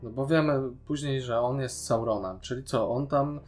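Opinionated man, medium pitch. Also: normal speed, soft background music. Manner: opinionated, questioning. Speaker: podcast co-host. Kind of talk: casual discussion of a TV series.

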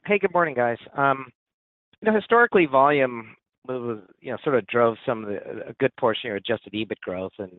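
A man speaking as an analyst, putting a question on an earnings call in a halting, informal, conversational way. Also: medium pitch, dry recording. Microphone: telephone. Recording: dry, narrow phone-line sound.

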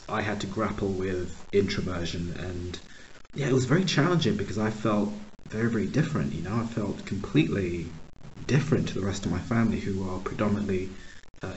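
A man from Uganda who grew up in the United States, hosting a casual interview and telling stories with enthusiasm. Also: sits low. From Uganda, raised in the United States. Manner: enthusiastic storytelling with affirming interjections. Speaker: podcast host. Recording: dull lo-fi audio with faint noise.

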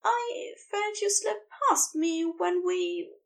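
A woman voicing a gentle, nervous male angel in an audio story, speaking softly, carefully and hesitantly. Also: very high voice, takes it slowly, recorded from a distance, pitched above the performer's own voice, full character voice.

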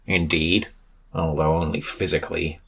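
A man voicing a calm, patient, long-suffering storybook sidekick with a dry, measured delivery. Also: extremely low pitch, creaky, husky, voice pushed down, exaggerated voice.

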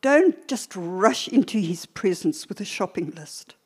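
An older woman preacher teaching a church sermon in a deliberate, emphatic way, with short pauses and repeated phrases. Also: medium pitch, animated, a little mellow, slightly rough.

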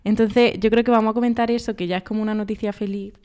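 A woman's voice: medium pitch, flat delivery, fast, darkish tone. A woman co-hosting a casual podcast, laughing often and steering the talk. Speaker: podcast co-host. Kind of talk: casual banter.

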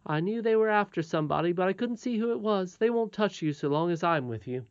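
A woman's voice: fairly low pitch, animated, fast talker, darkish tone, clean tone, dry recording, muffled recording, voice pushed up. A woman reading a storybook aloud, voicing a confident, cheerful twelve-year-old miner boy with a frank, matter-of-fact manner.

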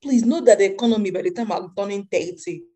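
A woman's voice: mid-range voice, quick pace, warm tone, soft background music. A Nigerian woman in her twenties, a podcast co-host chatting casually and joking with a friend. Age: 20s. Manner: playful, joking, laughs often. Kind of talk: casual chat.

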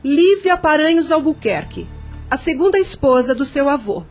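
An actress playing an elegant, mature landowner's widow in a radio drama, speaking in a formal, expressive, gracious way. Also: female voice, sits high, normal speed, soft background music. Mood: poised, appraising. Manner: formal, theatrical, expressive delivery.

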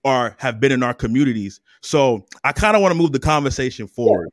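A man's voice: mid-range voice, lively intonation, a little fast, dry recording, studio quality. A man in a casual podcast discussion, making his point steadily and with emphasis. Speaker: podcast guest.

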